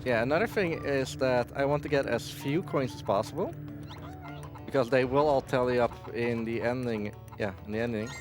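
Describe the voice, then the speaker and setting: A man talks casually through his live speedrun. His voice is average in pitch, and game music plays clearly behind him. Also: normal speed. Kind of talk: casual live gameplay commentary. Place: live gaming marathon event.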